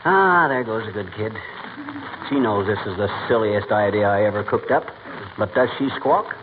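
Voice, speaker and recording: An actor playing a blustering, boastful, wisecracking husband with folksy slang, in old radio comedy style. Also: male voice, on the low side, expressive, normal speed, close to an everyday voice. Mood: wry, breezy. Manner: folksy, colorful mock insults and slangy exclamations. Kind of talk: 1940s radio comedy acting. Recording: tinny, noisy old radio recording.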